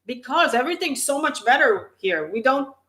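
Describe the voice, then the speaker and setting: A woman's voice: sits high, sing-song, normal speed, fairly bright tone. Chatty woman sharing stories. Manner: candid, chatty, tells personal stories. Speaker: co-host of a live tennis talk show. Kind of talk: casual live-stream chat.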